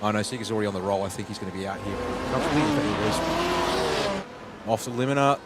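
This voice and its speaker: A man's voice: on the low side, fast talker. An Australian man giving chatty race analysis as co-commentator, with frequent fillers. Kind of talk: live sim racing commentary. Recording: loud game car noise behind.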